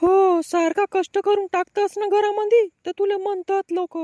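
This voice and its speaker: A man voicing a scolding, exasperated village mother in a comedy cartoon, sharp-tongued and nagging, with a rural Maharashtrian accent. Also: very high voice, little pitch movement, normal speed, no echo, pitched above the performer's own voice. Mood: indignant nagging.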